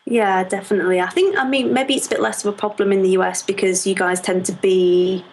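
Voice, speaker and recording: A woman with a Lancashire accent, a knitting podcaster chatting as an interview guest, blunt and direct and quick to laugh. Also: medium pitch, fairly even intonation, a little mellow. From Lancashire, England. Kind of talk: casual interview chat.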